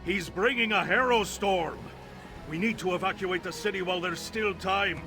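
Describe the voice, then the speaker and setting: A man's voice: sits high, normal speed, over music. A man playing a bitter, betrayed warrior commander in a fantasy video game, with an intense, dramatic delivery. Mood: bitter, despairing.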